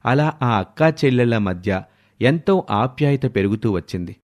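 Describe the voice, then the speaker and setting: Male narrator for moral tales: mid pitch, highly varied melody, scripted storytelling delivery. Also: expressive, normal speed.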